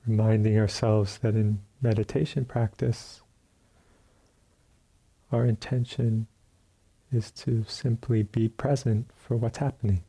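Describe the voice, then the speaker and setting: A very dark, mellow man's voice with a husky edge guides a meditation. He speaks as a teacher, in gentle phrases separated by long pauses. The delivery is slow and unhurried. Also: fairly low pitch, steady tone. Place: retreat center meditation hall.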